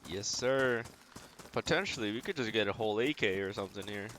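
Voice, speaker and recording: Male game streamer, lower-pitched, laid-back running commentary over audible background game noise. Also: brisk, fairly bright tone. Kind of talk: casual gameplay commentary, thinking aloud.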